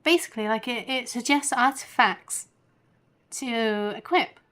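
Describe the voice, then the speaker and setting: A woman's voice: fairly high pitch, unhurried, pushed and bright. A woman game streamer giving casual, chatty, giggly commentary as she plays. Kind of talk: casual live gameplay commentary. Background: game music.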